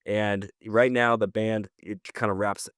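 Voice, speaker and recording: A man's voice: fairly low pitch, little pitch movement, measured pace, a bit bright, no room sound, studio quality. A man co-hosting a podcast in casual conversation, giving slangy opinions and thinking aloud. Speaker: podcast co-host.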